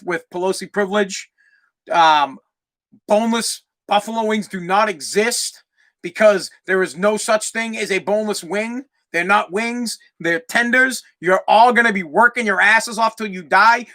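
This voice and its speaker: A young man with a Boston accent hosting his own podcast, ranting loudly and profanely as he talks straight to his listeners. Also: high voice. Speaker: podcast host. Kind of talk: solo podcast rant.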